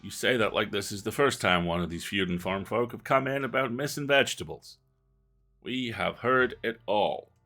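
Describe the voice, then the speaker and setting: A man's voice: lowish voice, normal speed, sharp tone, faint music behind. A man voicing a dismissive middle-aged small-town sheriff in a game, folksy, blunt and weary. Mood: dismissive, exasperated. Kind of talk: reading game dialogue aloud.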